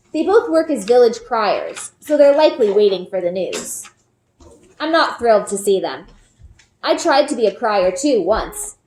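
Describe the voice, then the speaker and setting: Animated woman reading aloud, echoey room. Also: on the high side. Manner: expressive, careful storytelling. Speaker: storybook reader. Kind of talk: expressive read-aloud narration of a children's fantasy novel.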